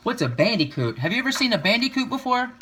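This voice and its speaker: A man reading a children's picture book aloud, with expressive, rhythmic delivery and drawn-out emphasis. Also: very high voice, slight background noise. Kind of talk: children's story read-aloud.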